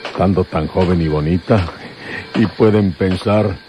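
Deep-voiced protective fellow, noisy recording. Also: male voice, measured pace, warm tone. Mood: calm, kindly. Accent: Mexican. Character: protective man disguised as an old father. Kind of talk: Mexican radio serial acting. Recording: noisy old radio drama recording.

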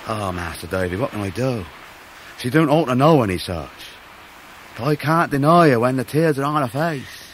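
A man's voice: fairly low pitch, a little hiss or hum, natural voice, low-quality recording. Man playing humble fisherman. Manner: halting, deferential, earnest. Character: humble, tender-hearted working-class young fisherman. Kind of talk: 1990s radio drama acting.

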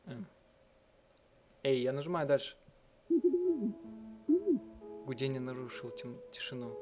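A man doing let's-play narration, reading and translating text aloud in a halting, self-correcting way. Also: mid-range voice, fairly even intonation. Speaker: game streamer and live translator. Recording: game music under the voice. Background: game music.

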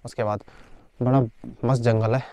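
A young man talks upbeat and chatty to his viewers, calling them guys as he sets up camp. His voice sits at an everyday middle pitch. It is recorded outdoors in a forest on a small handheld camera. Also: level tone, normal speed, mellow, slight background noise. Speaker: camping vlogger. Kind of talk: casual vlog talk to camera. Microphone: handheld action camera.

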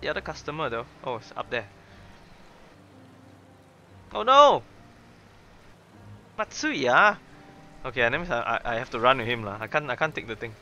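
Animated, bright-voiced young man streaming. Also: medium pitch, normal speed, pauses often, slight background noise. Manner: excitable, chatty, exclaiming. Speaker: gaming streamer. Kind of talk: live game playthrough commentary, reading dialogue aloud.

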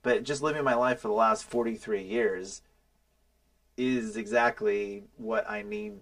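A man's voice: medium pitch, big pitch swings, unhurried, vocal fry, fairly bright tone. An American man in his forties hosting a conversational podcast, speaking emphatically and repeating phrases for stress. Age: 40s. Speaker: podcast host. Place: small indoor podcast studio. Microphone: podcast microphone on boom arm.

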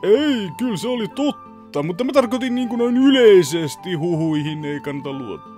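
Man playing a cocky, animated young swordsman. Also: high-pitched, soft background music. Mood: deadpan. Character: cocky, shameless teenage boy swordsman. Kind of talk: anime parody fan dub.

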